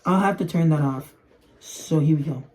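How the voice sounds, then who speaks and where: A man's voice: fairly high pitch, normal speed. A young man who speaks Spanish natively, chatting in a casual, rambling way as he demonstrates something to camera. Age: young adult. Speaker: toy video creator. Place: small room indoors at home. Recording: clear background noise and clicking.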